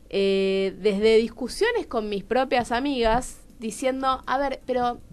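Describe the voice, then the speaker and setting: Higher-pitched Argentine woman, candid guest. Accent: Rioplatense Argentine Spanish. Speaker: guest playwright and director. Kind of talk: candid conversational interview.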